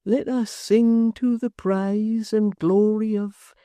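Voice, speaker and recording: A woman voicing a stunned, dazed old male innkeeper who speaks in a slow, ponderous mutter. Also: fairly high pitch, very animated, warm tone, no room sound, studio quality, pitched above the performer's own voice.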